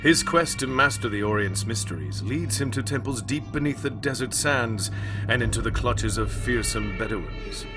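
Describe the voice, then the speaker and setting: A man acting as a suave, dramatic old-time radio host, narrating with polished, deliberate delivery. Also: mid-range voice, lively intonation, close to an everyday voice. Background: music.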